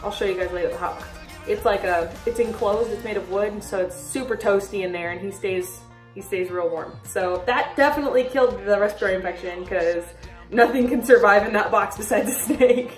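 A young woman in her twenties talks casually in a vlog, bubbly and gushing, now and then squealing in a high baby-talk voice. She is recorded in a small room with a roomy echo, sitting a little back from the mic. Faint music plays behind her. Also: fairly quick, recorded from a distance. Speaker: reptile keeper vlogger. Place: small bedroom reptile room indoors. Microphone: camera a little way off.

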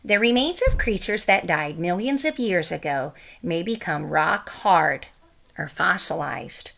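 A woman's voice: lowish voice, expressive, unhurried, vocal fry, fairly bright tone. A middle-aged woman reading a children's picture book aloud, with careful, emphatic phrasing for young listeners. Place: small room at home, indoors.

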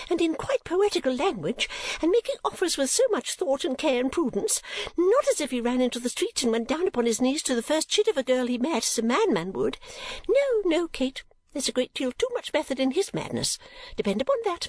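A woman reading an audiobook, voicing a fussy, excitable middle-aged mother who talks with shrill, insistent, swooping emphasis. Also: breathy edge, no room sound, exaggerated voice. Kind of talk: audiobook character voice.

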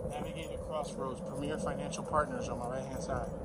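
A man's voice: mid-range voice, steady tone, a little fast. A man in his early thirties giving a casual walking tour, speaking informally and reading out signs and street names as he goes. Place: city sidewalk outdoors, while walking. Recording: outdoor street traffic and ambient noise.

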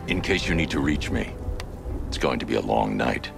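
A grim, menacing vigilante from a video game. The voice is extremely deep and creaky, a growl that moves in pitch, with music behind it. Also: male voice, lively intonation, moderate pace, exaggerated voice. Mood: grave, resolute. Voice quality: gravelly, low growl. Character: grim, menacing middle-aged vigilante crime fighter. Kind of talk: video game cutscene acting.

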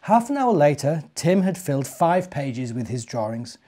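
British male storyteller, forties, mellow tone, expressive and deliberate delivery for young children. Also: on the high side. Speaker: church minister reading stories to children. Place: living room, indoors.

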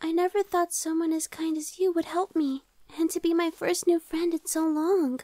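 A woman voicing a timid, anxious young female gargoyle in an ASMR roleplay, soft, girlish, hesitant and stammering. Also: very high voice, steady tone, moderate pace, no room sound. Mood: shy, grateful. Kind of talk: ASMR audio roleplay acting.

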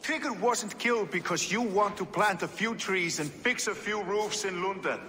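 A cynical medieval fighter, blunt n wry, forceful bright voice, video game, echoey hall. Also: male voice, high voice, moderate pace. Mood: firm. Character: hard, cynical male medieval London warrior. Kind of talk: dramatic game cutscene acting. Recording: reverberant hall, faint ambient noise.

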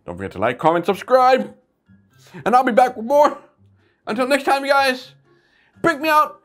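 A man hosting a casual toy review vlog, chatty and easygoing, with sudden bursts of excitement. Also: extremely high pitch, normal speed, soft background music.

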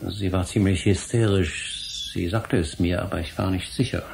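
An actor playing a troubled middle-aged history professor in a German radio play, with formal, intense, emotional delivery and a gravelly voice. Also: male voice, low voice, steady tone, moderate pace, a little mellow, slight background noise. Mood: contrite, anxious.

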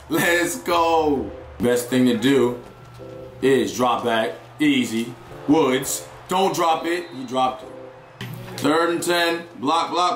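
A young man with a raspy, sore voice giving excited live commentary on a football video game and talking to his viewers. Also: on the high side, echoey room. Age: young adult. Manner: excitable, hypes plays, chatty with viewers. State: hoarse, sore voice. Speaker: gaming YouTuber.

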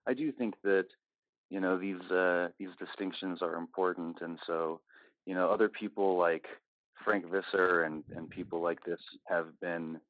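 Low-voiced man hosting a casual call. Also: steady tone, moderate pace. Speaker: meeting host, moderator. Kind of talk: casual moderating of an online group meeting. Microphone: online voice call. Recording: dull lo-fi online call audio.

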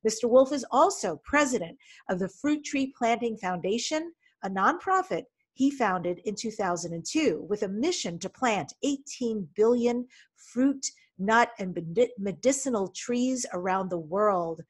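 A woman's voice: fairly high pitch, lively intonation, unhurried, breathy edge. Upbeat woman podcast host on video call. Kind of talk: podcast interview. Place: home room with folding screen. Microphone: studio desk mic in front, video call.

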